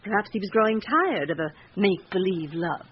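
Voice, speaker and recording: An actress playing a brittle, sharp-tongued wife and mother in a radio drama, suspicious and easily affronted. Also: mid-range voice, animated, fairly quick, lightly acted. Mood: brittle, indignant. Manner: sharp-tongued, indignant, haughty. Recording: dull, noisy old radio recording.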